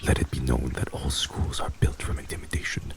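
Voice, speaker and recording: A man playing a heartless, commanding vampire mafia boss in an ASMR audio drama, gravelly and speaking in a formal, grave, measured manner. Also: lightly acted. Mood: dark incantation.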